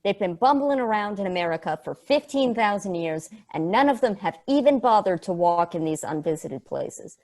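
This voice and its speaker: A woman's voice: medium pitch, animated, moderate pace, few pauses, darkish tone, lightly acted. A woman playing a sardonic, passionate space probe in a table read, casual, rambling and sweary. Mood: wry, ranting. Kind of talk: conversational acting in a script table read.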